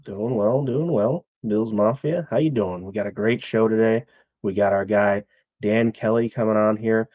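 An energetic man co-hosting a sports talk show, his voice at an average male pitch. The sound is dull and lo-fi, and dry, with no room to it. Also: moderate pace, no room sound. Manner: energetic, hyped-up. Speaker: co-host. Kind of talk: casual chat.

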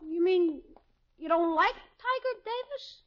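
A woman's voice: extremely high pitch, lively intonation, slow pace, exaggerated voice. A woman playing a cocky, eager young schoolboy who wants to seem grown up, in a 1950s radio comedy. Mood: flustered, eager. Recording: muffled, noisy old 1950s radio recording.

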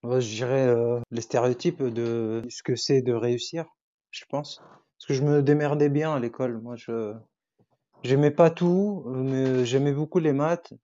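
A man's voice: mid-range voice, fairly even intonation, measured pace, warm tone, lo-fi sound. A young man from Tunisia whose first language is Arabic, chatting as a podcast guest and hesitating often as he searches for words. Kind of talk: casual interview chat.

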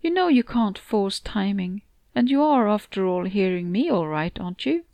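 Woman voicing a gentle, reassuring male storybook cat. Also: mid-range voice, expressive, normal speed, dry recording, natural voice. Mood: gentle, hesitant. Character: hesitant, reassuring male talking cat, cheekily fond of treats. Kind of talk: storybook character dialogue.

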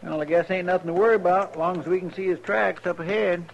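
High-voiced, folksy frontier sidekick. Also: male voice, steady tone, fast, creaky, lo-fi sound. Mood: uneasy, chatty. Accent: rural American drawl. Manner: folksy, deferential, fretful. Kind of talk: 1950s radio western acting. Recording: noisy vintage radio recording.

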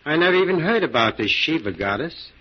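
Husky, slangy underworld moneylender. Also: male voice, a little high, very animated, fairly quick. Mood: skeptical. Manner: blunt, slangy, hard-bargaining. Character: tough, wary underworld moneylender with slangy talk. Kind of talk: 1940s radio drama acting. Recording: muffled old 1940s radio recording.

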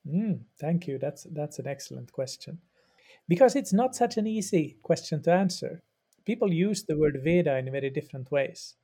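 Gravelly, husky-voiced Finnish man explaining. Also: a little high, lively intonation, moderate pace, mellow. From Finland. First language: Swedish. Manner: thoughtful and explanatory, with frequent repeats and fillers. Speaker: religious studies professor and yoga practitioner. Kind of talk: podcast interview answer.

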